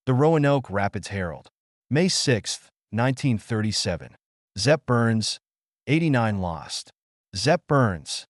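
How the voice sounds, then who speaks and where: A man's voice: medium pitch, sing-song, slow, no echo, studio quality. A man giving a scripted narration, reading out old newspaper reports.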